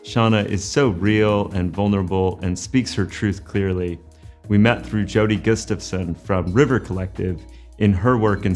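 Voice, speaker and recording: A man hosting a podcast, reading a measured, earnest scripted introduction before he interviews his guest. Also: low voice, a little mellow. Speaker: podcast host. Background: faint music.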